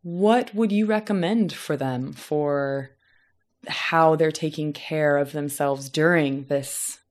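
Female interview host, low-pitched, chatty and animated. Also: slow. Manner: chatty, animated, many fillers like "you know". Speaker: podcast host. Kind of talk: casual interview conversation.

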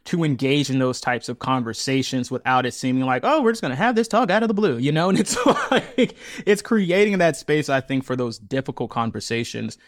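Man, thirties, earnest, reflective, bursts of high laughter, dry close mic. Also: brisk, few pauses, no echo. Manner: earnest, animated, laughs often, voice jumps high when amused. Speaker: podcast guest, audio storyteller. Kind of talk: reflective interview talk.

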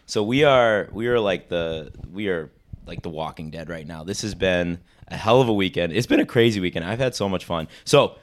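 A man with a fairly low voice hosts a casual podcast from his living room. He is hoarse from losing his voice but still sounds smooth and resonant. He rambles through stories and breaks into laughter often. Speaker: podcast host. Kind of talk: casual chat.

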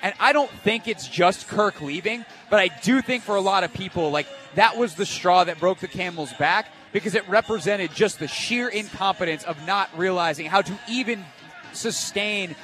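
A male sports radio host with a slightly high voice talks fast and emphatically, broadcasting live from an event. Faint music plays behind him. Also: a bit bright.

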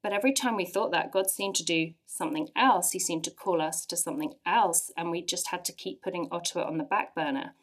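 An English-accented woman in her forties gives a personal testimony to a church audience. Her voice sits a little low, and her storytelling is earnest, with lively bursts of emphasis. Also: brisk, hardly any pauses. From England. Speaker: church speaker sharing testimony.

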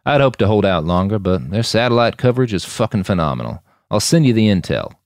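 A man's voice: on the low side, very animated, fast talker, dry recording, clean studio sound. A man voicing a sly, cynical, foul-mouthed mercenary boss in audiobook dialogue, with a blunt, scoffing manner. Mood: wry.

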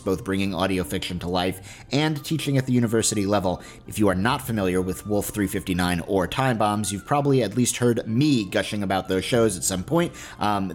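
Low-voiced man hosting over music. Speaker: podcast host. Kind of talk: informal scripted announcements and sponsor read.

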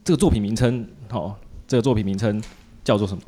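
A man's voice: on the low side, quick pace, dark tone. A man with a Taiwanese Mandarin accent hosting a casual chat, joking and colloquial, with lots of little interjections. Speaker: podcast host interviewing a guest.

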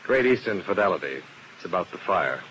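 An actor playing a wry, hard-boiled insurance investigator who also narrates a vintage radio drama in a dry, laconic way. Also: male voice, deep voice, very animated, brisk, a bit bright. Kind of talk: 1950s radio drama, first-person narration. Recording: muffled, hissy vintage radio broadcast.

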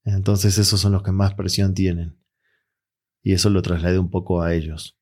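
A man with an Argentine accent, a mental coach answering interview questions in a relaxed, reflective way. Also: low-pitched, normal speed. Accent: Argentine Spanish. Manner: relaxed, reflective, with frequent fillers.

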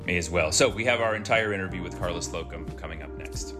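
A man's voice: low-pitched, fast, music in the background. A man from Oakland co-hosting a university podcast, asking questions in a friendly way with frequent restarts. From Oakland, California. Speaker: podcast co-host. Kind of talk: podcast interview.

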